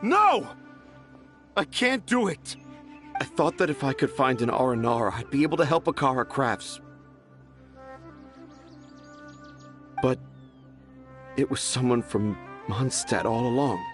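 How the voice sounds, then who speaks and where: An actor playing a fanciful, stubborn storyteller father in a video game, theatrical and excitable. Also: medium pitch, moderate pace, music in the background, natural voice. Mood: despairing.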